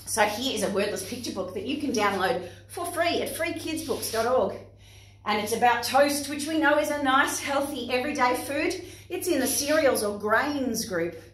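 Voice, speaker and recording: An Australian woman with a fairly high voice talks to young children and their parents. Her delivery is expressive and instructive, like a playgroup session. She is recorded in a very echoey room, some way from the microphone. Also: distant mic. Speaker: playgroup facilitator and presenter.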